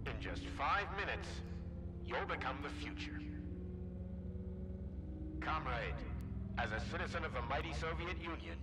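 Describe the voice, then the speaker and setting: An actor playing a grandiose Soviet scientist giving an oratorical, persuasive propaganda speech in a video game. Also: male voice, a little high, very noisy. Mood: grand, persuasive. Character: grandiose visionary Soviet scientist, director of a facility. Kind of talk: scripted public propaganda speech. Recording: roomy distant public-address sound under loud music.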